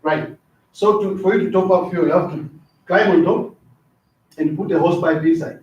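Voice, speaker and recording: A middle-aged man teaching a training class. His voice sits a bit high for a man, and he keeps asking the class questions and tagging "right?" onto his points. He sounds far from the mic in a very echoey room, and the recording is dull. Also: normal speed, darkish tone. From Zambia. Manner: asks the class questions, repeats words, drops in "right?" often. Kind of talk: classroom lecture.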